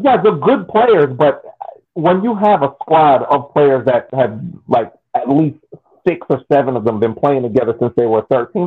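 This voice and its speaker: A man's voice: medium pitch, husky. A man on a casual sports podcast panel, repeating words and phrases as he makes his point over a tinny line. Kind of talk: casual soccer discussion. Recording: tinny phone-like muffled line.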